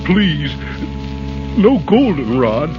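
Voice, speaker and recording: An actor playing a tender-hearted, excitable little old dwarf, plaintive and hesitant, in 1930s radio style. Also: male voice, fairly high pitch, drawn-out words, music in the background, full character voice. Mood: tearful. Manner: plaintive, hesitant, repeats words. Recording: muffled vintage 1930s radio recording.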